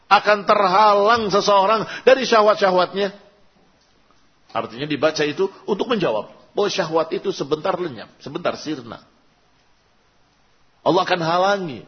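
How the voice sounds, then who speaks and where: A man with an Indonesian accent giving an Islamic sermon, teaching in short measured phrases as he explains a religious text line by line. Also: high voice, lively intonation. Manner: didactic, reciting quotations then translating and repeating in short measured phrases. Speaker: Islamic preacher. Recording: dull, lo-fi sound.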